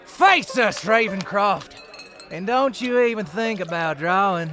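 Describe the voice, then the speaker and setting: An actor playing an aggressive outlaw henchman in a Western audio drama, with creaky, menacing, barked delivery. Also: high-pitched, lively intonation, unhurried, noisy background. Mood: threatening. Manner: barking, menacing.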